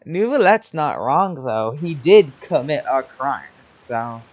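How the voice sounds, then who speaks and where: A man giving casual, low-key murmured reactions while playing a story game, with its music behind. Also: lively intonation, takes it slowly. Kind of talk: casual playthrough commentary.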